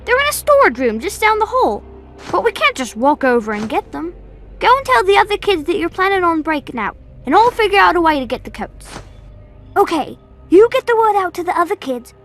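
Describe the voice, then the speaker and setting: A woman's voice: very high-pitched, sing-song, a little fast, a bit bright, soft background music, exaggerated voice. A woman voicing an eager, loyal little boy with an earnest manner in a video game. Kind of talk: video game cutscene acting.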